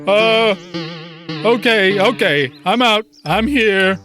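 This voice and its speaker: A man voicing a tiny boastful centipede posing as an invincible warrior in an animated children's fairy tale, with a pompous, drawn-out delivery. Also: sits high. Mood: sheepish. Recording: music behind the voice.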